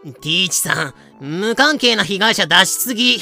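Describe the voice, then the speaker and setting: An actor in a fan audio drama playing an excitable pirate sidekick with casual, reactive speech. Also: medium pitch, normal speed, vocal fry, soft background music, full character voice. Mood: exasperated. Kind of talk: Japanese fan-made audio drama acting.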